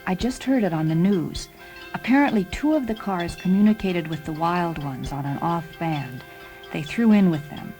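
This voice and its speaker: A woman playing a calm, devoted talking car in a radio drama, speaking in a measured, precise, matter-of-fact way. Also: on the low side, faint music behind, lightly acted. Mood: calm, reporting.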